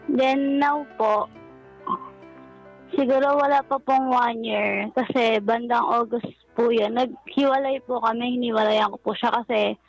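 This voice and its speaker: A woman's voice: a little high, level tone, a little slow, lo-fi sound. A young woman with a Filipino accent calling a radio show, politely recounting a personal story. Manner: polite, deferential. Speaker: caller. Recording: radio phone-in with music behind.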